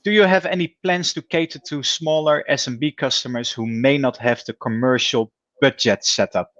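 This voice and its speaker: A man's voice: mid-range voice, fairly even intonation, moderate pace, a bit bright, no room sound. A man with a Dutch accent, politely moderating an online event as its host. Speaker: session moderator. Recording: dry, slightly dull lo-fi audio.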